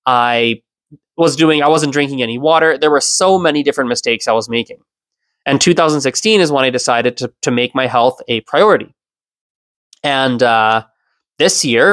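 A man in his early thirties talks through his own solo podcast, explaining his thoughts in a reflective, unhurried manner. His voice sits at an ordinary middle pitch. The recording is dry and close, with no room echo. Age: 30s. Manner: reflective, self-explaining, occasional soft laugh. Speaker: podcast host.

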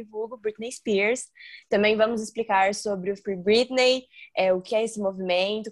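Chatty young woman podcast host. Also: medium pitch. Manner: chatty, explains with many "né?" tags. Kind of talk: casual podcast chat.

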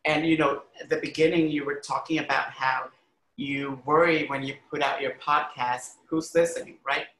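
A man's voice: medium pitch, steady tone, moderate pace, a bit bright, reverberant, low-quality recording. A man from Honduras talking earnestly and emphatically in a conversational interview, telling personal stories and often saying "like" and "you know". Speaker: arts critic and educator, podcast guest.

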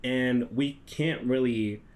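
A man's voice: medium pitch, fairly even intonation, slow. A young man from the Dominican Republic, co-hosting a casual podcast and speaking in an earnest, reflective way. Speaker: podcast co-host.

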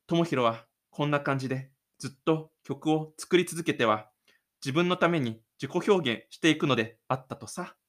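A man hosting his own audio drama show, narrating and chatting gently. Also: a little high, normal speed. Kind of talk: audio drama narration and casual host chat.

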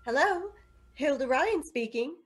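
A woman's voice: sits high, expressive, unhurried. A woman playing a peeved but loving young fiancée, scolding in old radio drama style. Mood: indignant.

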